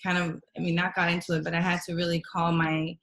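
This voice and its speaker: A woman's voice: lowish voice, level tone, quick pace, fairly bright tone. A woman of Dominican and Puerto Rican family speaking conversationally on a panel, with frequent fillers and restarts. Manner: conversational, hesitant, many fillers. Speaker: panel member. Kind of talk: casual video-call discussion.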